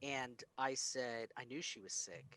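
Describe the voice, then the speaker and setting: An American man on a podcast, telling personal stories in casual film discussion with an animated, acted-out delivery. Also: mid-range voice, a little slow. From United States, grew up in Springfield and Texas. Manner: animated storyteller, acts out quoted lines. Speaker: podcast co-host.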